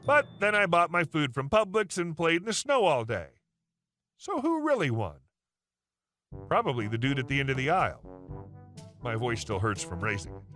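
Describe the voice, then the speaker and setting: Male story narrator, high bright voice, wry and animated, faint music under. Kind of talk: scripted narration of online stories.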